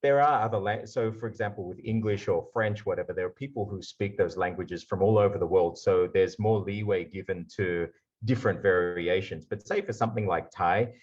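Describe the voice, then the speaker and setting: A man with an Australian accent giving a lively conference talk over a video call. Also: sits low, brisk, breathy edge, dull audio. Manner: lively explainer, slipping into put-on accents for examples. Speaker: guest speaker.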